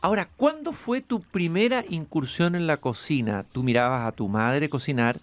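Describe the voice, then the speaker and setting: A man's voice: fairly high pitch, expressive, moderate pace. A man hosting an interview outdoors in a garden, hesitant and repeating himself, with easy laughs. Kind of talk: conversational interview.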